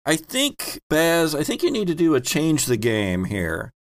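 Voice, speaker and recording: A man's voice: a little high, wildly swooping pitch, measured pace. A man hosting a tabletop game as game master in a casual, hesitant, playful way.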